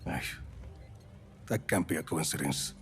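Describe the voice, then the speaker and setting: A man's voice: extremely low pitch, measured pace, a bit bright, slight background noise. A man playing a guarded action hero and former agent in a video game, terse, wary and matter-of-fact. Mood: determined. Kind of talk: video game cutscene acting.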